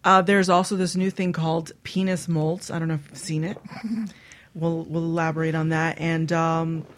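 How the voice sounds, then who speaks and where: Female talk radio host, lowish voice, brash and teasing, casual bawdy chat. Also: fairly even intonation, moderate pace.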